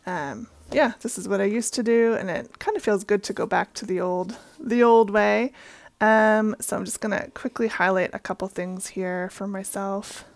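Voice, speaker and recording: A young woman from Canada talks through her monthly budget in a friendly, chatty way, dropping in soft ums as she writes. Her voice is gravelly and a little hoarse today, with a raspy edge. The sound is dry and close, with no room echo. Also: mid-range voice, steady tone, normal speed. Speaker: budgeting channel host. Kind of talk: casual talk-through while working on paper.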